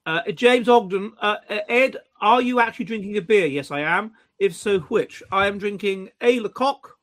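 A man with a high voice hosts a casual chat and reads listener questions aloud. He keeps breaking off and restarting his sentences, and he slips in wry jokes as he goes. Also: a bit bright. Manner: halting, stammering restarts; wry, joking. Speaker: host of an online pub show.

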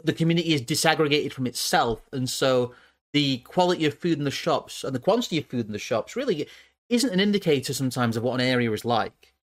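A man's voice: mid-range voice, expressive, fast talker. Rambling musing male streamer. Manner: musing, stumbles and repeats words. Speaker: streamer host. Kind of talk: casual livestream talk.

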